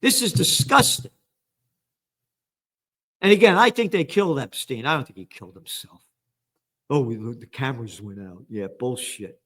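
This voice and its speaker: An older man hosting a news commentary, reading headlines and ranting with angry, sarcastic, profane remarks that swing from low mutters to shouts. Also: animated, moderate pace, pauses often, breathy edge. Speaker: trends commentator and host.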